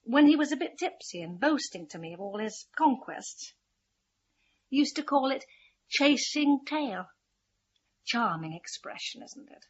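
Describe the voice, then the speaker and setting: Bitter English mother, high and swooping. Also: expressive, measured pace, lo-fi sound. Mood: acid, scornful. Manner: sardonic, scornful. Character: bitter, cynical middle-aged wife and mother. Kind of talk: radio drama acting.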